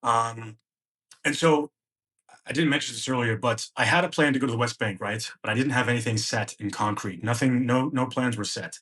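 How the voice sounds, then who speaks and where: A man's voice: medium pitch, normal speed, a bit bright, slightly rough. A man, a podcast guest, recounting a personal travel story in present tense and acting out the dialogue he remembers. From United States.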